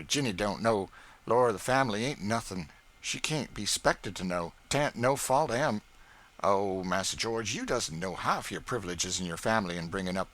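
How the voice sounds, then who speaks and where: A proud, scolding older woman cook who brags about her baking in a folksy drawl, put on by a male reader. The voice sits high and loud, swooping up and down as she fusses. A storybook figure full of bustle and scorn. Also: natural voice. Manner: scolding, boastful folksy dialect. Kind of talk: audiobook character voice.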